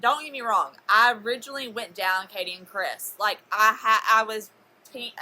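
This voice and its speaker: A woman debating casually on a phone call, halting and often restarting her sentences. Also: a little high, bright, forceful tone. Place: telephone call. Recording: noisy phone call recording.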